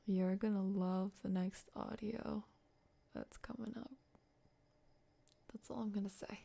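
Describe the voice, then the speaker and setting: A soft-voiced woman chatting playfully and casually to viewers on an ASMR livestream, often dropping into a whisper. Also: medium pitch, steady tone, measured pace, vocal fry, breathy edge. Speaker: ASMR live streamer.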